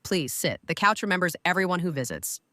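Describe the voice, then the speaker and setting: A gracious older woman, a retired lawyer and grandmother. Her voice sits a little low and measured. It is recorded close and dry in an audio drama. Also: sing-song, pushed and bright, no room sound. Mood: calm.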